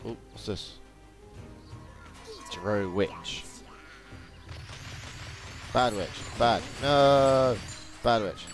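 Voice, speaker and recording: A man giving casual, playful let's-play commentary, chatty and full of exclaimed sound effects. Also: lowish voice. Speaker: gaming video host. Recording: game music behind, doubled game audio. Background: video game music and sounds.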